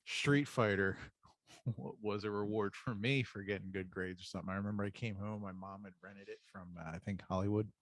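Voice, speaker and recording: Man, low-pitched, dry room sound; laid-out as casual nostalgic podcast banter, profane and slangy. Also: fairly even intonation, fairly quick, dry recording. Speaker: podcast co-host.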